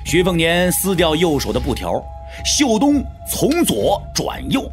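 A man's voice: high-pitched, huge pitch swings, measured pace, faint music behind. A man narrating a martial-arts audio drama in a dramatic, scripted storytelling style.

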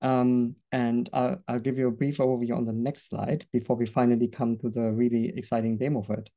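A man presenting a software product in a webinar, earnest and a little halting, with fillers and restarts. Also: medium pitch, flat delivery.

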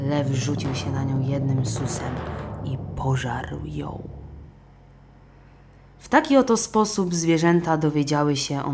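A woman's voice: deep voice, noisy background. A woman reading a scripted children's story as an audiobook narrator.

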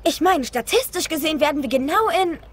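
A woman playing a bright, earnest young girl in the style of an animated film. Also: high voice, quick pace. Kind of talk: animated film dub acting.

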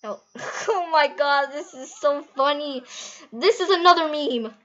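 Casting: female gaming host, high-pitched, casual and giggly, slightly muffled lo-fi recording. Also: measured pace, a bit bright. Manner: giggly, rambling, easily amazed. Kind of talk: casual gameplay commentary.

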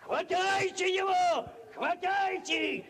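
A man's voice: extremely high pitch, takes it slowly, a bit bright, exaggerated voice. An actor playing a bossy, blustering village headman full of exclamations, in 1940s radio style. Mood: excited, bossy. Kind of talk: 1940s Soviet radio acting.

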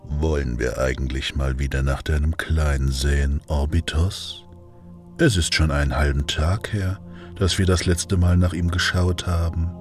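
A man playing a calm, measured god in an audio drama. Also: very deep voice, soft background music. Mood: calm inquiry. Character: calm, very deep-voiced male god. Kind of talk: German audio drama acting.

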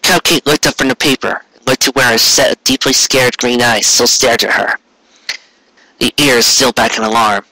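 A man's voice: mid-range voice, steady tone, normal speed, pushed and bright, no echo, poor audio. A man narrating a story as a dramatic reading, intense in manner, now and then stumbling over words. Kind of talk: dramatic reading of fan fiction.

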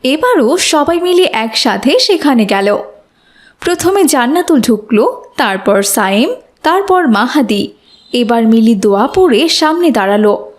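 Casting: female narrator for a kids' cartoon tale, high voice, scripted storytelling. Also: big pitch swings, measured pace.

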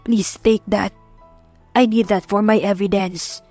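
A woman's voice: medium pitch, steady tone, takes it slowly, darkish tone, dry recording, faint music behind. A woman voicing a restrained, commanding Japanese man in an audiobook, speaking tersely. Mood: urgent.

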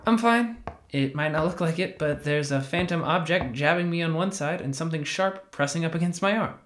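A man playing an awkward, sheepish young retail worker in an audio drama, with a halting, casual, wry delivery. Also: fairly high pitch, a bit bright, natural voice. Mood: strained. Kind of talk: naturalistic scripted audio drama dialogue.

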